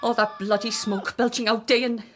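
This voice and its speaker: A woman's voice: on the high side, level tone, normal speed, a bit bright, a little hiss or hum, natural voice. An actress playing an upset, plain-spoken working-class mother with a Welsh accent, anxious for her son in a radio drama. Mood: upset, indignant. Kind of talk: radio drama character acting.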